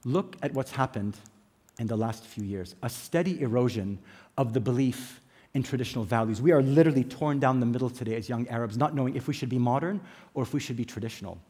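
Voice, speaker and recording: A middle-aged man with an American accent giving a prepared conference talk, measured and emphatic, building points through lists. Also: medium pitch, mellow, breathy edge. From England. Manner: measured, emphatic delivery with dramatic pauses and lists. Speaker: conference speaker.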